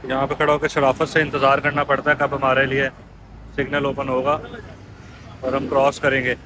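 A man's voice: mid-range voice, monotone, brisk. A man in his thirties with a Pakistani Urdu accent, chatting casually and explaining things as he walks, recorded outdoors on a phone held at arm's length. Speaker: vlogger. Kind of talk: casual walking vlog. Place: outdoors on a busy city street. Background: traffic noise.

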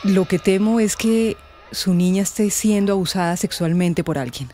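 A woman's voice: medium pitch, normal speed, mellow, faint music behind, lightly acted. A woman with a Colombian accent playing a concerned, tactful veteran schoolteacher in a radio drama, speaking carefully and earnestly.

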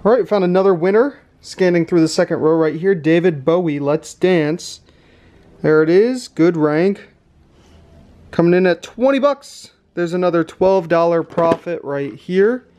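A man's voice: sits high, a little slow, darkish tone. A man doing casual vlog commentary as a reseller, thinking aloud as he goes. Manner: thinks aloud while tallying numbers. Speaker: reseller host of a thrifting channel. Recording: clear background noise, clicks.

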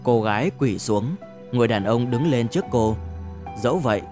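A man narrating a novel as an audiobook in a measured, deliberate storytelling manner. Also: mid-range voice, expressive, over music.

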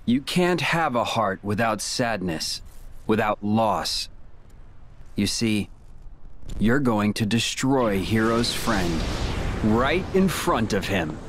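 A man's voice: on the low side, expressive, slow, a bit bright, slight background noise. A man voicing a cold, taunting young villain in a video game, menacing and smug.

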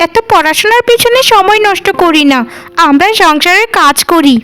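A woman's voice: extremely high pitch, lively intonation, normal speed, pushed and bright, full character voice. A woman voicing a mocking, gossipy young village housewife in a cartoon, taunting in a sing-song way. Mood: sneering. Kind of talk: cartoon voice acting.

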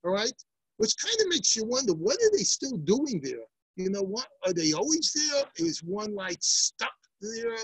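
An older man leads a Talmud class with a husky, slightly breathy voice, on a dry, close laptop mic. He reads a passage, then retells it as a lively story with asides to his students. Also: high-pitched, normal speed, no room sound, rough recording. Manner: lively storytelling with big hand gestures and asides. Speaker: Talmud teacher. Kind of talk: daily Talmud class, reading and explaining. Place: indoor study room lined with bookshelves. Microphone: laptop webcam, seated close to the screen.

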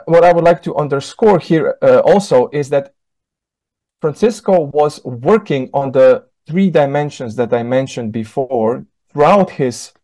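A man in his thirties, a native Slovene speaker, gives a keynote lecture from a room over a video call. His voice sits at a middle pitch and sounds dry and close, with no room echo. He talks in a measured way and often stops to correct himself mid-word. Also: darkish tone. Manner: measured, with frequent self-corrections and fillers. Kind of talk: academic lecture.

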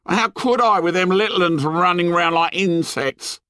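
A man's voice: sits high, lively intonation, slow, creaky, fairly bright tone, no room sound, studio quality, voice pushed up, full character voice. A man voicing an indignant, anxious schoolboy in an audiobook, scolding in whiny, ungrammatical speech. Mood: aggrieved protest. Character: indignant, whining schoolboy, anxious bespectacled outsider.

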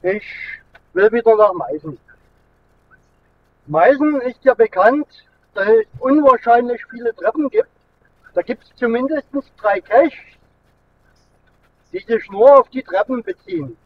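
A man's voice: extremely high pitch, expressive, unhurried, pauses often. A middle-aged man from Germany, chatting casually and rambling along as he vlogs from the driver's seat. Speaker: vlogger. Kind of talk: casual vlog chat while driving. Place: inside a moving car with air conditioning running. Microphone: camera mounted in the car. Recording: thin phone-like sound, road noise.

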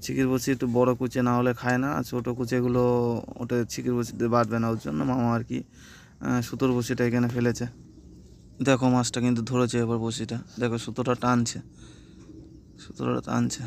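A man's voice: mid-range voice, completely flat delivery, brisk, vocal fry, a little mellow. Man narrating a village fishing vlog. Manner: chatty, addresses viewers as friends. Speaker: fishing channel host. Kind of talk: casual outdoor vlog narration. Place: open farm fields by a pond, outdoors. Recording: faint outdoor noise.

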